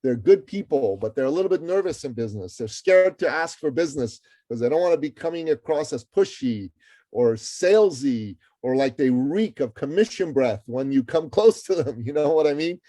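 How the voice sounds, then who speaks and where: Emphatic, dark-voiced man from Iran. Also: on the high side, normal speed, no room sound. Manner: energetic, emphatic, repeats words as he speaks. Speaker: guest, author and entrepreneur. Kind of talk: interview conversation.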